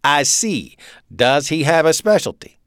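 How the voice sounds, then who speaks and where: A man's voice: a little high, very animated, measured pace, fairly bright tone, clean tone, no room sound, studio quality, voice pushed up, exaggerated voice. A man voicing a puny, authoritarian German prison camp commandant in an audiobook, with a staccato, demanding German accent. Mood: politely probing.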